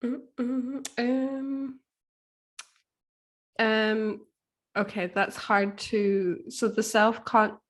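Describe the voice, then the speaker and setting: A woman speaking casually as a guest author, halting and searching for her words. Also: on the high side, fairly even intonation. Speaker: guest author being coached. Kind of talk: casual conversation.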